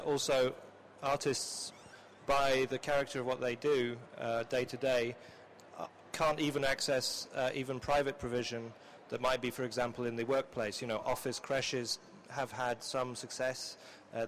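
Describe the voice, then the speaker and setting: A man leads a semi-formal panel discussion. His voice is of average pitch, and he builds long, measured sentences with hesitations. A faint noise sits behind him. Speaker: discussion moderator.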